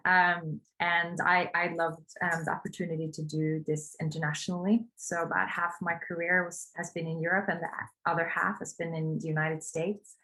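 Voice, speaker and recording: A woman from Norway with a fairly low voice introduces herself hesitantly. She often restarts her phrases and fills pauses with "um.". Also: level tone, sharp tone, low-quality recording. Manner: hesitant, many fillers and restarts. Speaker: panelist, HR executive. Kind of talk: online panel introduction.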